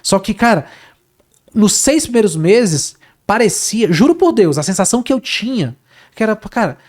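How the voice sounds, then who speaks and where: Homem animado contando história. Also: male voice, sits high, normal speed. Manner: animated, repeats words, says "cara" often. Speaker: guest, Portuguese teacher. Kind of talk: casual storytelling interview.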